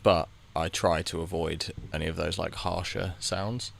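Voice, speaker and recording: A man with a low voice and a gravelly edge talks through a game as he plays it. He rambles and mutters his reactions out loud, often stopping to restart a sentence. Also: fairly even intonation, measured pace, a little hiss or hum. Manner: thinks aloud, muttering reactions to the screen. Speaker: gaming video creator. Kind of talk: casual commentary while playing a game.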